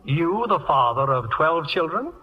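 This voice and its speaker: A man's voice: a little high, big pitch swings, unhurried, breathy edge. A man hosting an old radio show, delivering scripted banter. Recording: muffled old radio broadcast, faint hiss.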